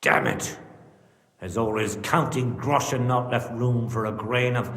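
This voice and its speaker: An actor playing an imperious, irritated medieval lord, scornful and demanding, in a video game cutscene. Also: male voice, medium pitch, a little slow, a bit bright, reverberant, in a large hall, natural voice.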